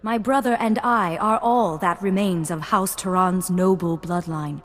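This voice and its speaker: A woman's voice: on the low side, fairly even intonation, a little slow, lightly acted. An actress playing a proper young noblewoman in a video game, speaking in a formal, refined manner. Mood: earnest. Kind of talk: video game cutscene acting.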